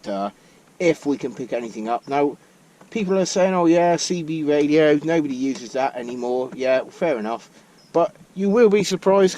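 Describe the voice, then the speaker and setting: A British man hosts a casual vlog in a medium-pitched voice. He talks in a chatty, informal way, walking viewers through his gear as if showing it to friends. Vehicle and ambient noise can be heard behind him. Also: a little mellow. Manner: chatty, addresses viewers as folks. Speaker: vlog host. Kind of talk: casual hands-on gadget walkthrough.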